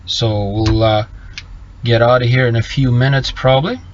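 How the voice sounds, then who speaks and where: A middle-aged man chats casually on a live stream from his parked truck, his voice at an ordinary middle pitch. He talks in halting stretches, restarting sentences and reaching for words. The sound is slightly muffled, with faint noise underneath. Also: fairly even intonation. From Bolivia. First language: Low German. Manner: halting, many fillers and restarts. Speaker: trucker vlogger reading live chat.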